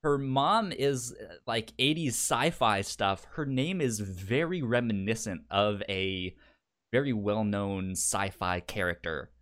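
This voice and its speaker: A man co-hosting a podcast, chatting casually about a TV show, stammering and repeating sounds and words as he talks in an animated way. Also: lowish voice, slow. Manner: animated, acts out quotes. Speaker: podcast co-host.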